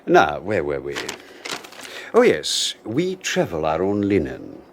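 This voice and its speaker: A deep-voiced, clipped, put-upon husband in a radio play, lively inflection. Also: male voice, slow, slight background noise, heavily acted. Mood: formal. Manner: crisp, formal, irritable. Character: young husband, resentful and clipped. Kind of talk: 1980s radio drama acting.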